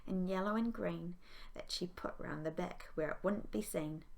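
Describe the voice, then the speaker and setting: A woman reads a children's storybook aloud with expression. Her voice sits a little low and has a noticeable creak to it. She sounds like a primary school teacher reading to her class. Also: normal speed.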